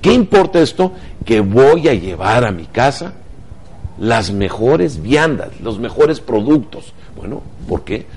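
A man's voice: medium pitch, very animated, takes it slowly, darkish tone, breathy edge. A man with a Mexican accent giving motivational talk show commentary, emphatic and fond of rhetorical questions.

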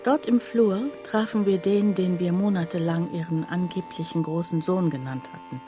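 An actress playing a troubled factory worker, telling her story in a soft, husky, halting and confiding radio drama monologue. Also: on the low side, over music, natural voice. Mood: reflective. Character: troubled female factory worker recounting her life, bewildered, plainspoken.

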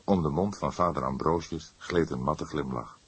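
A man reading a detective story aloud as an audiobook narrator, in a measured, literary storytelling manner. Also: extremely low pitch, husky.

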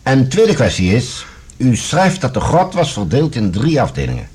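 A man acting a formal, authoritative general in a science-fiction radio drama, with a husky voice and a deliberate, questioning manner. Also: mid-range voice, wildly swooping pitch, a little mellow, heavily acted. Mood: probing, measured. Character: authoritative male general, probing and measured questioner.

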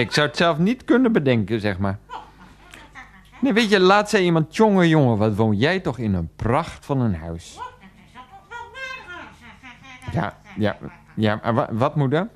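An exasperated grown son in a nineties radio play. His pitch swings up and down as he protests and placates his mother on the phone. He talks haltingly and repeats himself. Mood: wry, patient. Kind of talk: 1990s Dutch radio play, one-sided phone call.

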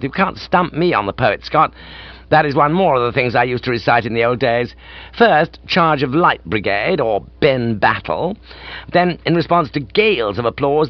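Man acting upper-class English gentleman, excitable, exclamatory, pompous, lively swooping intonation, dry slightly dull recording. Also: on the high side, no room sound, voice pushed up, natural voice. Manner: breezy, self-satisfied, exclamatory. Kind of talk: comic audiobook character.

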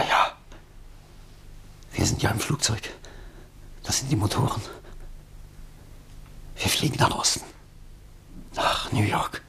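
An actor in a radio play as a dying, feverish, broken writer, husky and gravelly, speaking in halting fragments. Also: male voice, on the low side, fairly bright tone, slight background noise, exaggerated voice. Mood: confused, feverish. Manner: halting, murmuring, fragmented speech.

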